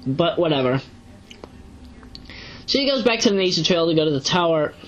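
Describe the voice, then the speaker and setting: A woman giving casual, unscripted commentary as an online reviewer, rambling and halting as she corrects herself. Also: fairly low pitch, expressive, lo-fi sound, a little hiss or hum. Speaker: online video reviewer and narrator. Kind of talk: casual unscripted commentary recapping a web series.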